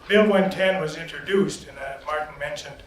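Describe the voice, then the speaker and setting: A man hosting a story circle, speaking in a plain, halting way. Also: a little high. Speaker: host. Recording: distant echoey room, faint noise.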